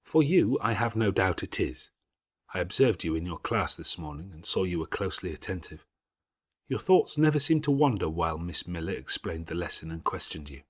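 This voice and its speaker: A man as a grave, patient schoolgirl, slightly husky. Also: deep voice, no echo, clean studio sound. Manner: measured, earnest, moralising. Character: patient, devout, bookish older schoolgirl, gravely self-critical. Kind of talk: audiobook character voice.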